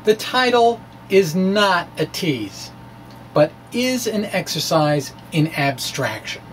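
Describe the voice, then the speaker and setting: High-voiced man, recorded a little far off. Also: big pitch swings. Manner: halting, wry, conversational asides. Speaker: science blogger and presenter. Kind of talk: dramatic reading of a blog with informal asides. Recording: distant mic with faint background noise.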